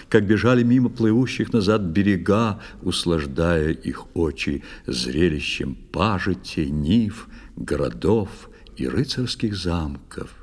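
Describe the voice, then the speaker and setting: A man narrating a poetic tale theatrically, in a measured, expressive storytelling manner. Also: low voice. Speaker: narrator of a children's musical audio play. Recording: long studio reverb, music underneath. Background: music.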